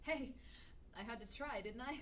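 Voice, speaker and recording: A woman's voice: fairly high pitch, moderate pace, a bit bright, lightly acted. A woman playing a plucky young captive heroine in a radio drama. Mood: anxious. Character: plucky young female captive cheering on her hero. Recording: distant from the microphone.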